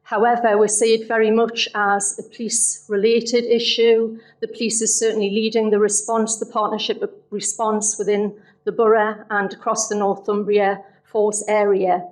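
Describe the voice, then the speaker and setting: A woman councillor giving a measured speech in a council meeting, pausing with ums, her voice a little strained. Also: medium pitch, rough recording. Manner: measured, steady delivery with frequent ums. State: losing her voice. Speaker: councillor moving an amendment. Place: council chamber.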